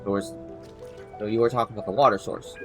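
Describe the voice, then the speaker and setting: A man giving casual livestream game commentary, halting and muttering his reactions to himself as he plays. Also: lowish voice, steady tone. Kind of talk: casual game commentary, reading dialogue aloud. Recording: game music behind the voice. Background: video game music.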